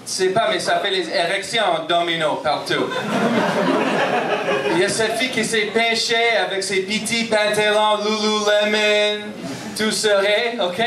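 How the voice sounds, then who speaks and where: Man in his thirties, anglophone Montreal accent, English native speaker, stand-up comedy, high-pitched, echoey room picked up from afar. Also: level tone, a little slow, far from the mic, background noise. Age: 30s. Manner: self-deprecating, punchy, frequent "ok?" check-ins. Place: comedy bar stage.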